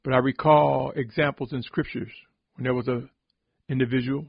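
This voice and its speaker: Gravelly, husky man preaching solemnly. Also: medium pitch, fairly even intonation, no echo, highs cut off. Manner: exhortatory, deliberate, with emphatic repetition. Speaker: religious podcast host and preacher. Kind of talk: solemn sermon-like spoken reflection.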